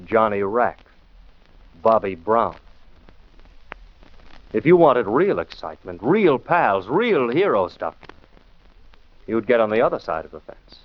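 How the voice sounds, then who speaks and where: A man's voice: fairly low pitch, very animated, unhurried, pauses often. A man acting a shrewd, scornful radio detective who lectures emphatically in rhetorical questions, in 1940s style. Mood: scornful, forceful. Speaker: detective lead and show host. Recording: muffled 1940s radio recording.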